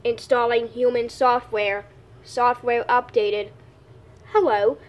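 A woman's voice: on the high side, steady tone, slow pace. A woman voicing an easily offended robotic dog in an amateur cartoon skit, with stilted, machine-like announcements. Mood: indignant, stilted. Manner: stilted robotic announcements among plain talk.

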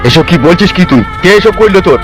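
A man's voice: high-pitched, lively intonation, fast. A man voicing a middle-aged, hot-tempered father in a comedy cartoon, ranting and scolding over music. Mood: shocked, indignant. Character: furious, foul-mouthed protective village father. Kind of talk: melodramatic comedy cartoon dubbing. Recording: music under the voice.

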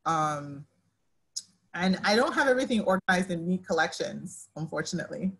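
A woman's voice: lowish voice, normal speed, creaky, husky, low-quality recording. A woman in her thirties who grew up in Nigeria, a fashion designer speaking on a video call from her living room, talking with her hands and full of restarts. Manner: animated, talks with her hands, many likes and restarts. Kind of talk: casual panel conversation. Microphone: laptop webcam on video call.

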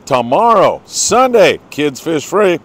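A man's voice: a little high, very animated, slow. An older American man hosting a casual fishing report, chatty, folksy and enthusiastic. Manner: chatty, enthusiastic, folksy, congratulating people by name. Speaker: host of a fishing report show.

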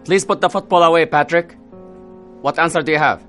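An actor playing a calm math teacher with dry, deadpan humor, in a classroom. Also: male voice, a little high, brisk, soft background music, natural voice. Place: classroom indoors.

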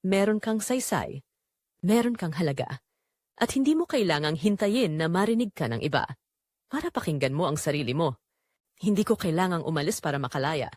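Audio drama lead: actress as middle-aged Filipina wife and mother, reflective and resolute, lively intonation, dry close sound. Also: on the low side, no echo. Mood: earnest, resolute. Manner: reflective, earnest, measured confessional phrasing. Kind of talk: scripted first-person audio drama narration.

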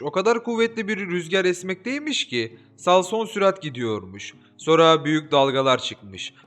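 A man reading a folk tale aloud as an audiobook narrator, expressive and lively, giving the characters their own voices. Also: fairly high pitch. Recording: soft background music.

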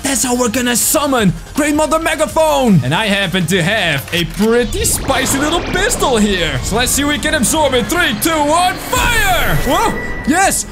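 A young man gives excited gameplay commentary in a very high voice that swoops widely. He is playful and exaggerated, full of exclamations, with music playing behind him. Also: moderate pace, few pauses. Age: 20s. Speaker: gaming video host.

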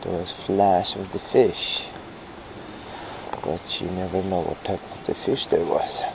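Slow, low-voiced man outdoors. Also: steady tone, vocal fry. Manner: unhurried, short remarks with repeated words. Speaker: angling vlogger. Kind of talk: casual first-person fishing commentary. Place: outdoors in a kayak on a river. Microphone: body-worn action camera. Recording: noisy outdoor action camera, water and boat sounds.

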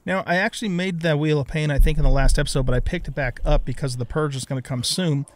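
Older American male gaming host, somewhat higher-pitched voice, folksy and easygoing, casual let's play narration. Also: steady tone, fast. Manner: folksy, easygoing, thinks aloud.

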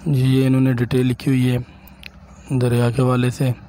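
A man, a village vlogger from Punjab, calmly explaining sights to viewers while he walks outdoors and films on his phone. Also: mid-range voice, normal speed, slight background noise. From Punjab, Pakistan. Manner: calm, explanatory, addresses viewers directly. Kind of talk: walking tour narration.

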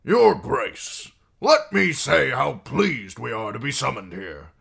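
A man voicing a fawning, scheming middle-aged lord with an oily, flattering manner. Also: mid-range voice, takes it slowly, gravelly, slightly rough, pitched above the performer's own voice, exaggerated voice.

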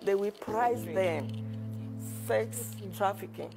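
Woman on TV, gravelly. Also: medium pitch, slow pace. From Nigeria. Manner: earnest, explanatory. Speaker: TV talk show guest. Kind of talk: TV panel discussion. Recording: TV clip with loud music bed. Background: loud music.

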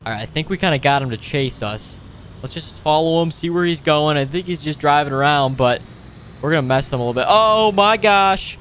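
A man hosting a gaming video with casual, playful roleplay commentary, excitable and given to exclaiming. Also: fairly high pitch, brisk, slight background noise. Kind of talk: casual improvised roleplay commentary over gameplay.

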